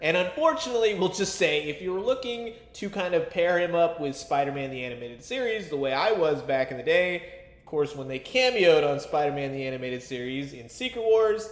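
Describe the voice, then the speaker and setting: A man's voice: a little high, lively intonation, a little fast, reverberant. A man giving a casual, chatty toy review with a fan's enthusiasm and colloquial asides. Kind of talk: casual action figure review commentary.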